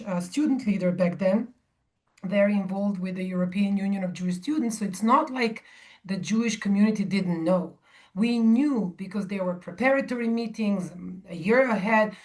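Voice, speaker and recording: Woman, from Serbia, 40s, audience talk, measured, hesitant fillers, middle pitch. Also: darkish tone. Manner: measured, with frequent ums and restarts. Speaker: guest speaker.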